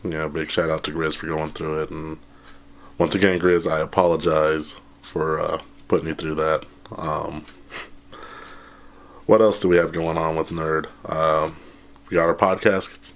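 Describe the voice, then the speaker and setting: Deep-voiced man, slightly hoarse. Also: unhurried. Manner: relaxed, rambling, lots of ums and laughs. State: slightly hoarse after being sick. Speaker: channel host. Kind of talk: casual update vlog. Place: small room indoors. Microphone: handheld camera at arm's length.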